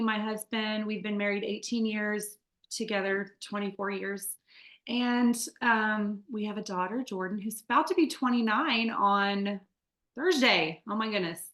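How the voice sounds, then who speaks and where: Bright-voiced woman telling her story. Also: medium pitch, echoey room. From Midwest United States. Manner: candid, chatty, many fillers and restarts. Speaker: guest. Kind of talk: conversational testimony.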